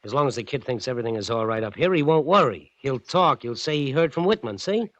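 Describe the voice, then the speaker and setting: An actor playing a ruthless, cocky gangster in a 1940s radio drama, barking curt threats. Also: male voice, mid-range voice, expressive, fast, a bit bright, no echo, natural voice. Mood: cocky, smug. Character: ruthless, cornered male gangster, cocky and menacing. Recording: muffled old radio recording.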